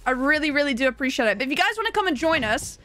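Young English woman streamer; high, bright voice, quick bubbly chatter. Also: sing-song. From England. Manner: fast, bubbly, laughs often. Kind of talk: casual live-stream chat.

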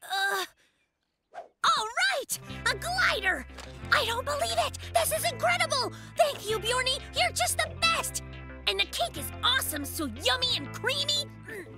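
A woman's voice: very high voice, big pitch swings, moderate pace. A woman voicing a cheeky, exuberant young bear boy in a children's cartoon, with slangy delivery. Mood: delighted. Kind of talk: animated children's cartoon acting. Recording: children's cartoon music behind.

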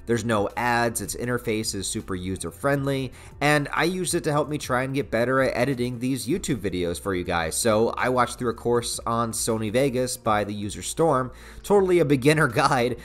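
A man in his thirties with a North American accent, narrating a scripted but conversational review with casual, sarcastic asides. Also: medium pitch. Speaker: video essayist, channel host.

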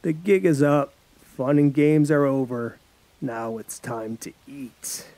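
A man's voice: on the high side, unhurried, dark tone, no echo. A man voicing a sinister, mocking cannibal mortician in a read-aloud horror story. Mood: menacing.

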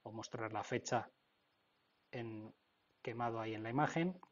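A man's voice: medium pitch, a little slow, highs cut off. A man with an accent from Spain narrating an informal technical tutorial, explaining step by step and often checking in. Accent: Spain Spanish. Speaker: technical instructor.

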